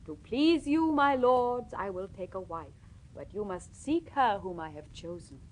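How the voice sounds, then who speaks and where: A woman voicing a proud, stubborn king in a storybook legend, measured and formal. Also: mid-range voice, expressive, poor audio. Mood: regal, firm. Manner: measured, regal, archaic formal speech.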